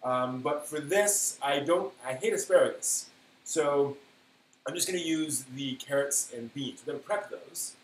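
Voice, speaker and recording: A man's voice: a little high, measured pace. Man talking casually in an echoey room. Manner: thinking aloud, hesitant, trailing off mid-task. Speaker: live stream host. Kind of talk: casual unscripted cooking demonstration. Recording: roomy echo, background noise.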